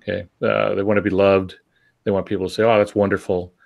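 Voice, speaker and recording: A middle-aged or older man co-hosting a podcast, explaining things in a measured, reflective, conversational way. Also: low voice, level tone, gravelly, darkish tone. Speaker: podcast co-host and experienced writer.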